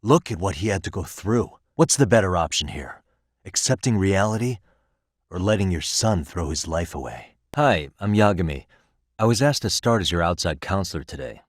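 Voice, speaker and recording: A man's voice: low-pitched, lively intonation, normal speed, no room sound, studio quality. A man playing a cool, principled, easygoing investigator in an English-language video game, speaking in a casual, self-assured and earnest way. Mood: stern, pleading, then friendly, casual.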